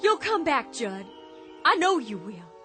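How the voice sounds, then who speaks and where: A pleading young farm wife. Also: female voice, high-pitched, big pitch swings, a bit bright, soft background music, full character voice. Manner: emotional, pleading, halting restarts. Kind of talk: radio drama acting.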